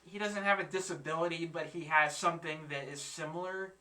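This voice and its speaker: A young man in his twenties hosts a casual reaction chat, halting and searching for his words as he works through an idea. His voice is very bright and sharp and sits a little high. He sounds set back from the microphone. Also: steady tone, far from the mic. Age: 20s. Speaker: reaction channel host.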